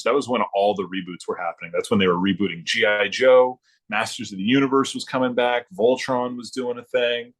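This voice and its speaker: Deep-voiced middle-aged man chatting. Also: a bit bright. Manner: halting, thinking aloud, informal. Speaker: guest, former comics production worker turned librarian. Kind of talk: casual conversational reminiscing.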